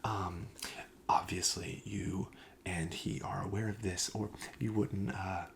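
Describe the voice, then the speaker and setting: A man playing a friendly, reassuring card shop clerk in a soft-spoken ASMR roleplay, breathy and often near a whisper, with casual, hesitant sales patter. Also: sits low, steady tone, takes it slowly, a little hiss or hum, full character voice. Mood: calm, explanatory.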